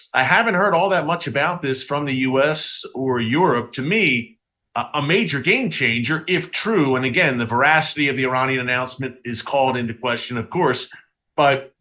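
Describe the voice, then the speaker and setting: A man's voice: medium pitch, bright, forceful tone. Man, news commentary host, slightly congested, sniffly, emphatic, talking to viewers, studio. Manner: direct address to viewers, emphatic. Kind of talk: live-streamed news commentary.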